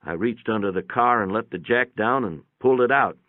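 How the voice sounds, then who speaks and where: A man's voice: fairly low pitch, a little fast. A man reading a first-person short story aloud in a folksy, plainspoken storytelling manner. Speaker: narrator. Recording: boxy room echo, tinny phone-like sound.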